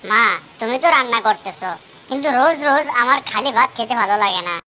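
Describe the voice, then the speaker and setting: A woman's voice: on the high side, fast, pushed and bright. A woman voicing a stubborn, pleading little bird chick in a children's cartoon story, with a whiny, insistent manner. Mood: demanding, sulky.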